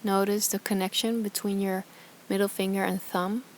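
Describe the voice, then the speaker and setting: A woman guiding a meditation as a yoga teacher, speaking softly and soothingly in short, calm phrases with pauses between them. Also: mid-range voice, a little hiss or hum. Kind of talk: guided meditation instruction.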